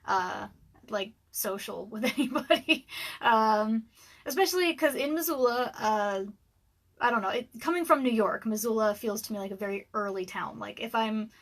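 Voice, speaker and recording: A woman in her thirties with a scratchy voice, rambling casually on a livestream from her dark room at home, with lots of ums and little laughs. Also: on the high side, moderate pace. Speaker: author and livestream host. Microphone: webcam livestream.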